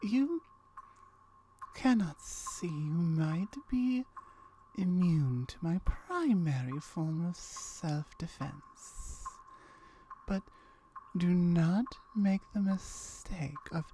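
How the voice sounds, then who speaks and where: A woman voicing a lonely, guarded gorgon in ASMR roleplay, speaking softly in hesitant, formal phrases that often drop near a whisper. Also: on the low side, lively intonation, drawn-out words, slight background noise. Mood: offended, defensive. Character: lonely, guarded female gorgon, wary yet curious.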